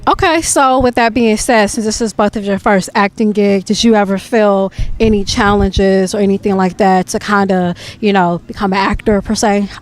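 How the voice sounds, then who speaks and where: A woman's voice: mid-range voice, fairly even intonation, moderate pace, few pauses. A woman hosting an interview, asking the guests friendly, prompting questions. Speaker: interview host.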